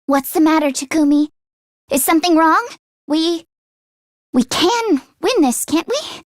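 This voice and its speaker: Casting: teenage girl fighter for a video game, very high voice, anxious and earnest, expressive with hesitant stammers, dry studio sound. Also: unhurried, no echo. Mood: startled, puzzled, then anxious, worried. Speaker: voice actress.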